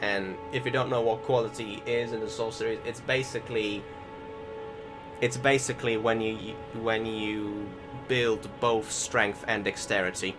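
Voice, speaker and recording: A man's voice: fairly low pitch, fairly even intonation, unhurried. A man giving casual voice-over commentary on video gameplay, rambling and questioning himself with some mild swearing. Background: music.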